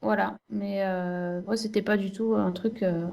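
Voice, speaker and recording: A woman answering casually in an interview as a podcast co-host, with hesitant, halting phrasing. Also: mid-range voice, fairly even intonation, creaky, darkish tone.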